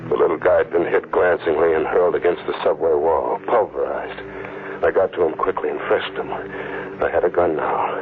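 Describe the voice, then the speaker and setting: A man's voice: rumbling low, steady tone, moderate pace. An actor playing a desperate, exhausted man on the run in a 1940s radio thriller, narrating in clipped hard-boiled fragments and stammering. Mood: urgent narrating. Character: desperate, exhausted hunted man telling his story. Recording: dull, very noisy vintage radio recording.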